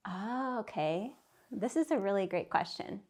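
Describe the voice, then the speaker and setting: A woman's voice: mid-range voice, lively intonation, unhurried, darkish tone. A woman in her thirties, a business owner, telling her story in a casual, chatty interview. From Santa Fe, New Mexico, US. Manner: chatty, with "like" fillers and light laughs.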